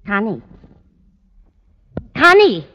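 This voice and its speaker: A woman's voice: a little high, huge pitch swings, very slow, exaggerated voice. An actress playing a kindly, fussy, scatterbrained older landlady in a vintage radio comedy, rambling in a motherly way. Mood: flustered. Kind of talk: 1940s-50s radio comedy acting. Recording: muffled, noisy old-time radio recording.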